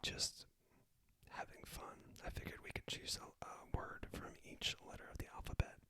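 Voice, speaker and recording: A man speaks entirely in a whisper, in the manner of an ASMR video. He hosts an informal, self-deprecating language lesson as a native English speaker. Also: normal speed. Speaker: video host teaching Spanish basics.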